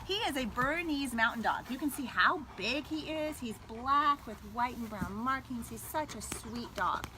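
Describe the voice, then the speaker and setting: An American woman, a school librarian, reading a picture book aloud to young children in an expressive way. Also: fairly high pitch, normal speed, few pauses. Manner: animated, expressive delivery aimed at young children. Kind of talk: read-aloud storytelling for children. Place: at home. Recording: noisy home video with background sounds.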